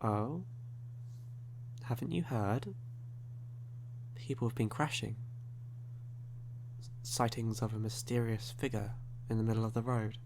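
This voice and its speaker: Slow-talking, suave vampire played by a man. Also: fairly low pitch, vocal fry, background noise. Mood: sly, ominous. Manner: courtly, unhurried, teasing, formal wording. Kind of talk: ASMR audio roleplay.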